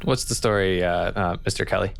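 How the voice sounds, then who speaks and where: A man narrating an improvised tabletop game as game master, halting and thinking aloud as he repeats words. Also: deep voice, steady tone, creaky, faint music behind.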